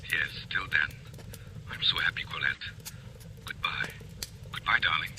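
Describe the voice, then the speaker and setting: A man's voice: very deep voice, unhurried, whispered. A man playing a lovestruck young artist, tender and yearning, with an intimate, earnest manner in 1940s radio style. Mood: eager, tender. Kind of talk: 1940s radio romantic drama acting. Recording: old radio broadcast with music behind.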